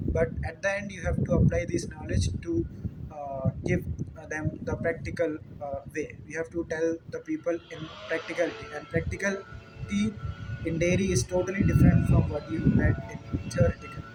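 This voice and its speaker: A young man from India, a native Hindi speaker with an Indian accent, explaining things informally in an earnest, slightly halting way. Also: fairly high pitch, wildly swooping pitch, over loud music, rough recording. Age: young adult. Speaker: dairy technologist answering questions. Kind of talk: informal question-and-answer explanation.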